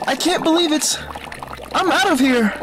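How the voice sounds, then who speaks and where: A woman playing a casual, slangy school kid with an excitable manner in an audio drama. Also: high-pitched, moderate pace, background noise, natural voice. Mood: startled. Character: casual, alarmed school kid, helpful then panicky.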